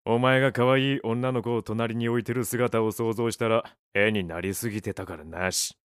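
An actor playing a gruff, vain café owner who talks bluntly and casually in an audio drama. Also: male voice, mid-range voice, fairly even intonation, normal speed, no echo, studio quality, lightly acted. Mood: dry teasing. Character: gruff, grumbling male café owner, rough-edged, vain. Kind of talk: Japanese audio drama acting.

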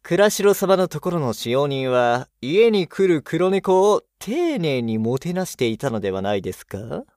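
A courteous, analytical technician from a radio play. He explains at an unhurried pace with widely swinging intonation, in formal, slightly theatrical language. The recording is dry and close. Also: on the high side, sing-song, a little mellow, dry recording. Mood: explaining. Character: polite, analytical male technician of living machines, explains patiently. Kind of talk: Japanese audio drama acting.

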